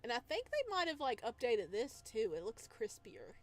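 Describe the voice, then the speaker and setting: A woman's voice: on the high side, wildly swooping pitch, moderate pace, background noise. A woman chatting casually on a livestream as she plays a game, rambling in a giggly, playful way. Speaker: live streamer playing a visual novel.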